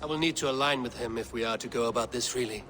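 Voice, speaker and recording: An actor playing a stern, blunt male Viking warrior in a game cutscene, speaking in a steady, measured way. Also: mid-range voice, slight background noise, lightly acted. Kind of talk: video game cutscene acting.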